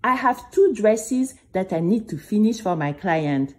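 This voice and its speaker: A woman's voice: mid-range voice, lively intonation, a little slow, a little mellow, lightly acted. A woman voicing a busy, polite mother who asks mild questions in a children's audio story. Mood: apologetic.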